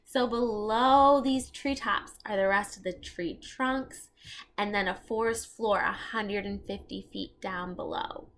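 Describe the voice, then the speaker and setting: A woman reading a children's storybook aloud, expressive and explaining things to young listeners as she goes. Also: mid-range voice, unhurried. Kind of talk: read-aloud narration with asides to young listeners.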